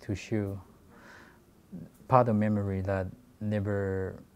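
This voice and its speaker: A man in his forties from Taiwan with a Taiwanese accent, giving a halting talk about his work with many fillers and restarts. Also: fairly low pitch, flat delivery, darkish tone, clear, resonant tone. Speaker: guest photographer. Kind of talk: presentation talk.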